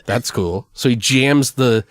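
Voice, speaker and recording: A man's voice: mid-range voice, takes it slowly. A man hosting a podcast, brainstorming in a loose, rambling, slangy and profane way. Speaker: podcast host. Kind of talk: casual brainstorming chat.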